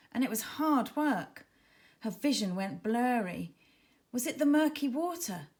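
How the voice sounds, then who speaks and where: A woman reads a children's storybook aloud in a high voice. Her delivery swings widely in pitch, with dramatic, animated emphasis in the storytelling. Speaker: author reading own children's book. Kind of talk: expressive children's storybook narration.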